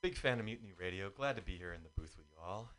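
A man who is a guest in a radio booth talks in a low voice with a bright, forceful edge. He jokes dryly and with ease. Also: expressive, racing. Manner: wry, joking. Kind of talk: casual chat.

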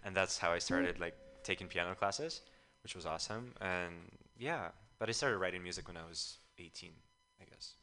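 A man's voice: low-pitched, moderate pace, pushed and bright. A young man from Ukraine, a musician guest telling his story in a casual interview, often quoting himself. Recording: radio show studio.

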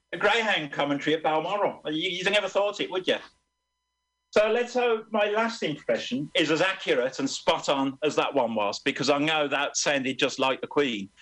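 Brief: comedy radio host, slightly raised pitch, hesitant and wry. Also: male voice, fairly bright tone, low-quality recording. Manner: hesitant, filler-heavy, self-mocking.

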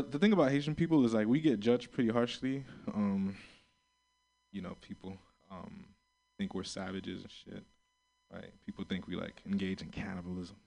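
A man's voice: mid-range voice, vocal fry, darkish tone, low-quality recording. A man from Florida works through a stand-up set in a crude, laid-back way. He keeps chuckling at his own jokes and stands a little back from the stage mic. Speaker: stand-up comedian. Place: comedy open mic room. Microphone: stage mic held back from the mouth.

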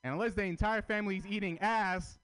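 A man's voice: sits high, steady tone, fast, a bit bright, clear, resonant tone. A man from Armenia who grew up in Russia, doing a blunt, profane stand-up set at an open mic. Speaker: stand-up comedian. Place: comedy open mic venue.

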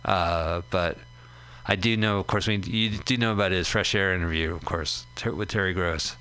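A man's voice: low voice, steady tone, pushed and bright, clear, resonant tone. A man co-hosting a radio show, chatting casually and rambling while they watch a movie together. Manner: rambling, halting, quick laughs. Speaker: radio co-host.

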